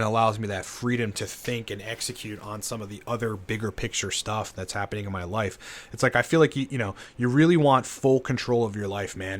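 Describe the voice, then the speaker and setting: A man's voice: fairly low pitch, a bit bright. A man in his late thirties talks casually and unscripted, rambling with many "you know"s and "like"s and swearing freely. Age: 30s. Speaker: channel host, entrepreneur. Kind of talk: casual unscripted monologue to camera.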